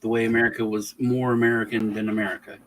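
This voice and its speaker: A man's voice: fairly low pitch, level tone, quick pace, slight background noise, low-quality recording. A man from Texas who grew up partly in Germany, chatting casually as a podcast host in a rambling way, often restarting his phrases. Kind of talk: casual political chat.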